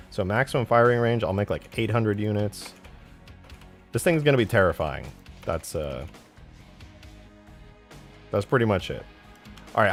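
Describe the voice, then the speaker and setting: A man live streaming as he works on a game mod, chatting casually with viewers and muttering his steps aloud in fragments. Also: fairly low pitch. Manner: thinks aloud in short fragments, muttering to himself while working. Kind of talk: casual live stream chat while working.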